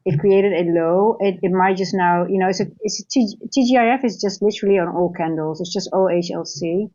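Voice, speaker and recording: A woman's voice: fairly low pitch, fairly quick, mellow, muffled recording. A woman from the Netherlands with a Dutch accent, thinking aloud in live trading commentary, often restarting and asking "right?". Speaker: trading coach.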